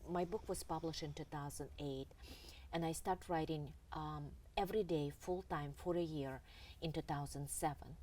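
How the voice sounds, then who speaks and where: Lady, deep-ish voice, kinda far from the mic, earnest halting storytelling. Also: darkish tone. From Russia. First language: Russian. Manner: earnest, halting, with many pauses and fillers. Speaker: author and interview guest. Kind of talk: reflective storytelling in an interview. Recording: distant mic with faint background noise.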